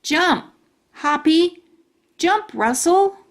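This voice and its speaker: A woman voicing a naive, excitable little schoolboy in a storybook, calling out urgent commands. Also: very high-pitched, extremely slow, pitched above the performer's own voice, heavily acted. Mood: excited, insistent.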